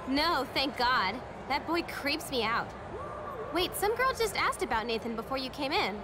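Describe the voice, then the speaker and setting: Gossipy young female coat-check student, high voice, chatty, game drama, loud background noise. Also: lively intonation, moderate pace. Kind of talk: video game acting. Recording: game audio over loud ambient noise.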